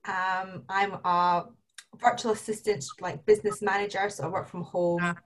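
A woman's voice: mid-range voice, little pitch movement, moderate pace, a little hiss or hum, poor audio. Chatty woman from Scotland, rambling. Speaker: panel guest. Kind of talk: casual conversation.

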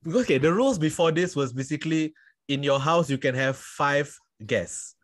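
A man's voice: a little high, fairly quick. A man from Singapore hosting a casual podcast, telling rambling stories with lots of fillers. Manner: chatty, rambling storytelling with many fillers. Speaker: podcast host. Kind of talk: casual chat.

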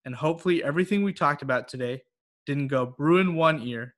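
A man's voice: fairly high pitch, brisk, fairly bright tone. A man hosting a podcast, asking questions and signing off in a friendly, joking way. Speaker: podcast host.

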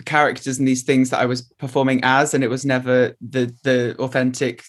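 Man, guest, earnest and encouraging, medium pitch, conversational. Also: level tone, a little fast. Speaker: interview guest. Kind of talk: candid conversational interview.

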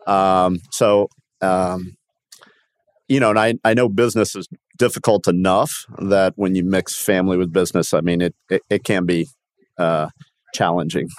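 A man in his sixties from Miami, born in Cuba, telling his life story as an interview guest in a halting, self-correcting way. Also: sits low, steady tone, no echo, studio quality. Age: 60s. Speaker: podcast guest, company founder.

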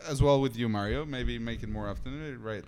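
A man's voice: medium pitch, fairly quick, a little mellow. A young adult man in a casual, joking podcast conversation among friends. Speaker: podcast participant.

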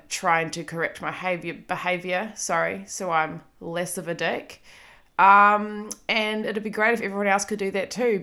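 A woman in her thirties with a clear New Zealand accent talks through a solo podcast episode. Her voice sits a little low but has a bright, forward edge. She speaks casually and pokes fun at herself, with plenty of ums. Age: 30s. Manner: chatty, self-deprecating, frequent ums and laughs. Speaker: podcast host.

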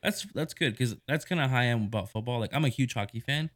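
Man, mid pitch, California host, casual and easygoing with some stammering. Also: fast, fairly bright tone. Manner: easygoing, chatty, stammers on restarts. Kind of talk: casual conversation.

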